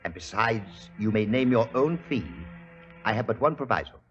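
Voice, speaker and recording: A man's voice: mid-range voice, lively intonation, normal speed, faint music behind, natural voice. Male actor as sinister wealthy industrialist, formal, imperious, sweeping intonation, old radio drama, dull sound with light hiss. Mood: coldly courteous. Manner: smooth-talking, formal, menacing. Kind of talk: old-time radio mystery acting. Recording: dull, hissy 1940s radio recording.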